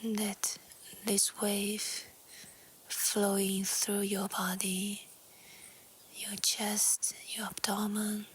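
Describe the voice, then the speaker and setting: A woman speaks in a soft, breathy near-whisper, guiding a yoga nidra meditation very slowly. Her delivery is gentle and calm, staying on an even, flat tone through short phrases. Faint outdoor ambience sits behind her voice. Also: mid-range voice, a little hiss or hum. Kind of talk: guided relaxation meditation. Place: outdoors in nature. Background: faint natural ambience.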